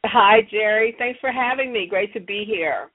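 A woman's voice: mid-range voice, moderate pace. A woman telling stories casually as an interview guest, heard over a tinny telephone line. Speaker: interview guest, audio drama producer. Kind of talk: casual conversational storytelling.

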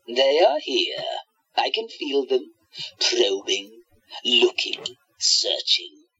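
A sadistic, playful tyrant from another world for a radio drama. The voice is husky and swoops wildly as it draws out mock-sweet taunts, with theatrical menace. The audio is slightly dull. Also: mid-range voice, big pitch swings, extremely slow, pushed and bright, heavily acted. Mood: gloating menace. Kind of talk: radio play acting.

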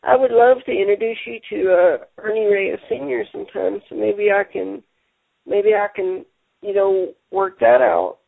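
A man hosting a casual radio show, talking haltingly and repeating words, with many fillers. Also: sits high, vocal fry, no echo. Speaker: show host. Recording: tinny phone-line sound.

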